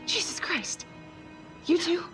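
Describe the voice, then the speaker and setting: A woman's voice: extremely high pitch, takes it slowly, music in the background. A woman playing a tough, sardonic homicide detective in a naturalistic crime-thriller game, with a blunt, muttering delivery. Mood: hurt, angry.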